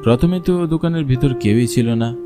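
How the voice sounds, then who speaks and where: A man's voice: mid-range voice, brisk, over music. A man narrating a scripted horror story in the first person, as a storyteller.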